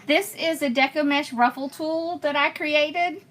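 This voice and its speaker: Bright-voiced chatty woman crafting live. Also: high voice, unhurried. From born in Pennsylvania, raised in Georgia. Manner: chatty, answers viewer comments, laughs often. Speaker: host of a craft livestream. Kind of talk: casual live-stream chat while crafting.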